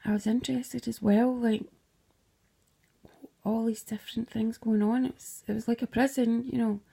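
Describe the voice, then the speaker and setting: A woman's voice: fairly high pitch, steady tone, moderate pace, creaky, mellow, breathy edge. A Scottish woman casually retelling a dream, rambling, slipping into whispered asides and swearing freely.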